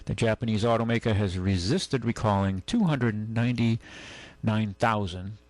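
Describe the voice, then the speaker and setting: A man hosting a call-in radio show, talking casually and haltingly, rambling as he goes and reading out items. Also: fairly low pitch, dry recording. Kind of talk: casual talk radio, reading news and chatting. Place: radio studio. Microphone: close studio microphone.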